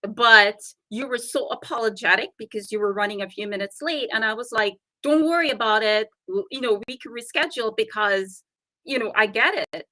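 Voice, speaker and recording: A woman with a softened Trinidadian accent, a guest talking earnestly and explaining her ideas in a casual interview chat. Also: on the high side, moderate pace, dry recording, poor audio.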